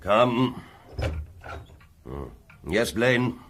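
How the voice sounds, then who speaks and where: An actor playing a haughty, grumbling older Victorian lord, brusque and pompous, in a radio drama. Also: male voice, medium pitch, drawn-out words, a bit bright, a little hiss or hum. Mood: brusque, displeased.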